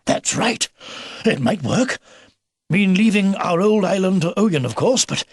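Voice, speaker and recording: A man voicing a kindly, excitable old grandfather beaver with a hearty, old-fashioned military manner, in a storybook reading. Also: high-pitched, unhurried, husky, no room sound, clean studio sound, voice pushed up, heavily acted. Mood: excited, hearty. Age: older.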